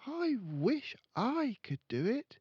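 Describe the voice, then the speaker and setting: Man putting on a high-pitched clever little girl. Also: big pitch swings, slow, breathy edge, no room sound, dull audio, exaggerated voice. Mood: innocently curious. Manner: innocent questioning, sweetly needling. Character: clever little girl, polite, slyly probing.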